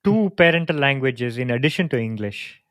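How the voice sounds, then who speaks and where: A man from India in a relaxed, reflective podcast conversation, telling family stories. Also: mid-range voice, fairly quick, dry recording. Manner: reflective, storytelling. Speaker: podcast co-host. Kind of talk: casual conversation.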